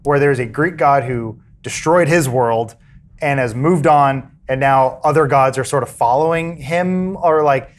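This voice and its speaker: A young man hosting a casual podcast, with a mid-pitched voice that sits right in the ordinary middle. He rambles and jokes, breaking off sentences and restarting them as the thoughts come to him. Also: unhurried. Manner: rambling, self-interrupting, jokey. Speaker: podcast host. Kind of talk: casual chat.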